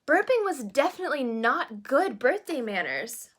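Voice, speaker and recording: A woman's voice: sits high, sing-song, normal speed, a bit bright. A woman narrating a children's storybook expressively, with little asides to young listeners. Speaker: storyteller reading a children's book aloud in character as a princess.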